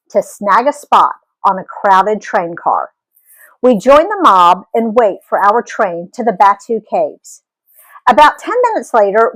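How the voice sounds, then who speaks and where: A woman reading a story aloud as an expressive, animated narrator. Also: medium pitch, rough recording. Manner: animated storytelling with acted dialogue.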